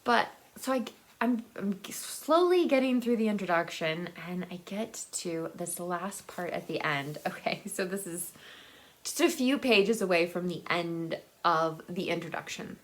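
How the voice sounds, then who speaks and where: A woman talking casually and unscripted as a book vlogger, in a halting way, thinking aloud and rephrasing as she goes. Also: on the low side, expressive.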